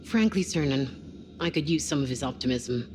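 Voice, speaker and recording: A woman's voice: extremely low pitch, lively intonation, normal speed, background noise. An actress playing a wry, blunt but caring woman on a space crew, in casual cinematic game dialogue. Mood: gentle, frank. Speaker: video game character, spacecraft crew member.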